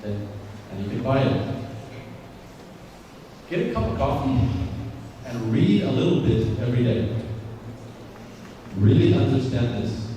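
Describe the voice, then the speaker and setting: Male guest lecturer, non-native English, deliberate and repetitive; roomy far-off hall recording, audible noise. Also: mid-range voice. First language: not English. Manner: lively, repeats points, checks in with "okay?". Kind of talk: lecture to students.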